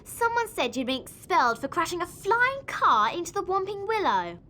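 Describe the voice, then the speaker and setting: Prim, bossy English schoolgirl for a game: high, crisp-edged bright tone, slight noise behind. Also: sing-song, moderate pace, full character voice. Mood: exasperated. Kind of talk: video game voice acting.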